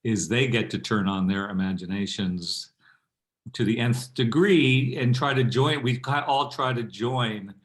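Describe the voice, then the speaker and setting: A man, an actor on a panel, talking casually and a little haltingly as he searches for phrasing. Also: medium pitch.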